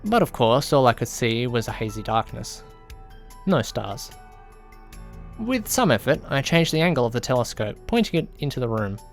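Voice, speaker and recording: A man's voice: medium pitch, sing-song, moderate pace, gravelly. A man reading a scripted first-person story as an audiobook narrator. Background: background music.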